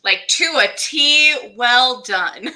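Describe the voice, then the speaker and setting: A woman's voice: on the high side, expressive, slow, bright, forceful tone. A woman hosting a casual live online interview, speaking in an encouraging and enthusiastic way. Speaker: host of an online show and podcast.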